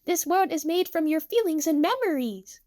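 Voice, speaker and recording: A woman's voice: very high voice, expressive, moderate pace, darkish tone, voice pushed up. A woman playing a wise, reassuring girl from a dream world, speaking with assurance.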